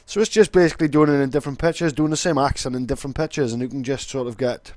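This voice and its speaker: A young Welsh-accented man explains casually, rambling and swearing, with plenty of fillers. His voice sits at a middling pitch. The recording is dry and close, with no room echo. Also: rapid-fire, darkish tone. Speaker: vlogger.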